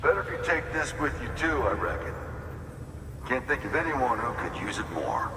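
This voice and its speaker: A man's voice: medium pitch, very animated, moderate pace, lightly acted. An actor playing a folksy, plainspoken and helpful outpost man in a video game cutscene. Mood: folksy, businesslike. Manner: folksy, drawling turns of phrase. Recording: echoey hall, distant, noisy ambience.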